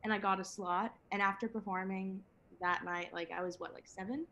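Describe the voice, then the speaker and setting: A woman's voice: medium pitch, fairly even intonation, a little fast, vocal fry, bright, forceful tone. An eighteen-year-old woman from Venezuela, a singer-songwriter guest chatting in an interview, laughing often and talking with many fillers and restarts. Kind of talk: casual interview chat.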